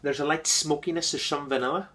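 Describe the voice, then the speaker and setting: A man giving an enthusiastic, casual beer review, repeating words and filling pauses with ums. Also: a little high, steady tone, sharp tone.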